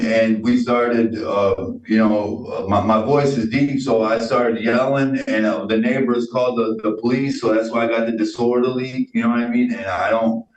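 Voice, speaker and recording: Man w stumbling thru his answers, lowish voice, echoey room. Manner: hesitant, halting, many restarts and fillers. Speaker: defendant. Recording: roomy, distant and dull.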